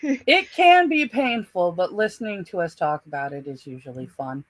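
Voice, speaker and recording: A woman's voice: mid-range voice, lively intonation, normal speed, dry recording, low-quality recording. A woman chatting casually as a co-host on a stream. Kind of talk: casual chat.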